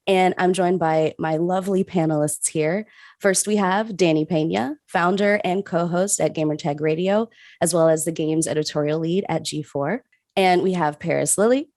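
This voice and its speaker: A woman's voice: on the low side, a little mellow, dry recording, studio quality. A woman hosting a panel discussion, giving upbeat scripted introductions. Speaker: host.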